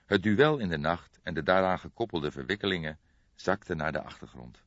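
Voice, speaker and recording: A man reading a detective audiobook as narrator, in measured, dramatic storytelling. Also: deep voice, lively intonation, fairly bright tone. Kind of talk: scripted narration of a detective novel. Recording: boxy, echoey, dull recording.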